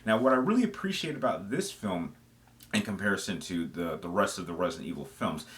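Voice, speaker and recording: A man's voice: low voice, animated, fairly quick, fairly bright tone. A man giving casual, semi-scripted commentary as the host of a gaming video, explaining his opinions conversationally and stressing phrases for emphasis.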